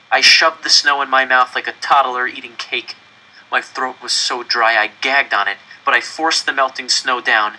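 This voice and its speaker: Bright, forceful male story narrator. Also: mid-range voice, low-quality recording. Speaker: audiobook reader. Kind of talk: dramatic first-person story narration.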